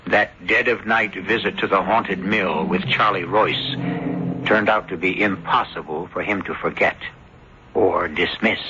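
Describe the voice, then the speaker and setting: A man hosting a radio mystery with theatrical scripted narration, ominous and deliberate. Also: low-pitched, very noisy. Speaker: host. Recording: dull old radio broadcast recording. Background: music.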